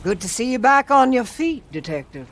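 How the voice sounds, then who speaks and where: A woman's voice: fairly high pitch, sing-song, normal speed, a bit bright, slight background noise. An actress playing a motherly, cryptic cult woman who sounds reassuring, in a video game. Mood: soothing, cryptic. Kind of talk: video game cutscene dialogue.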